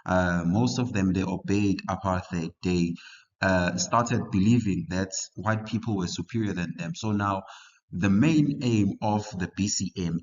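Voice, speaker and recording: A young man with a very low voice walks learners through a history essay in a relaxed, halting way, full of fillers and restarts. The recording is muffled and lo-fi. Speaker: history tutor. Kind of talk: casual study lesson for learners.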